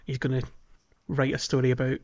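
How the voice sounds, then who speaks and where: A man's voice: mid-range voice, monotone, fairly quick, a little mellow, dull audio. A man co-hosting a podcast, chatting casually about a video game in a hesitant, chuckly, conversational way. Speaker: podcast co-host.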